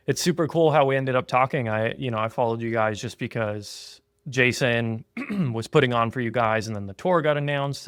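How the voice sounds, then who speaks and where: Thirties man, laid-back podcast host, middle pitch, dry close sound. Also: fairly bright tone, no echo. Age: 30s. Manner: easygoing, earnest, with frequent "um" and "yeah". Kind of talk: casual podcast chat. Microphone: remote call.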